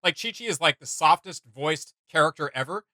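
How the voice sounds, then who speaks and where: A man hosting a reaction video, chatting casually in an animated, rambling, slangy way. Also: fairly high pitch, fairly quick, dry recording.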